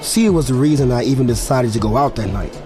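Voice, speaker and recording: A man's voice: medium pitch, expressive, brisk, over music, close to an everyday voice. An actor playing a guarded young football player in his twenties, confiding in a conversational, slightly hesitant audio drama narration. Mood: reflective, confiding.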